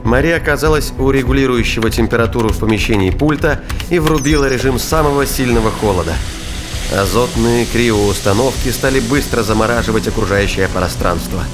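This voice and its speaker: A man giving a dramatic scripted narration of a science fiction thriller. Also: mid-range voice. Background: music.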